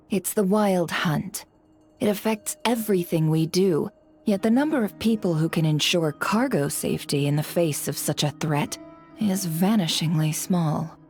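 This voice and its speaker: Low-voiced, sly woman information broker. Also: a little slow, darkish tone, slightly rough, faint music behind. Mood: grave, businesslike. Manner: composed, coy, insinuating. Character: shrewd, sly female intelligence broker, coolly polite. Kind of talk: video game character dubbing.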